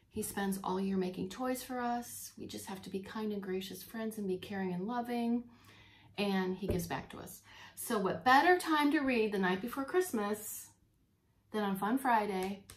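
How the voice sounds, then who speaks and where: A woman preschool teacher reading a storybook aloud to young children, expressively, pausing to explain and repeat words simply. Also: mid-range voice.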